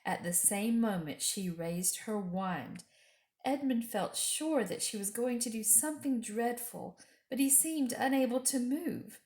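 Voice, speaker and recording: A woman reading a children's book aloud as an expressive storyteller. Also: on the high side, a little slow. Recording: roomy echo.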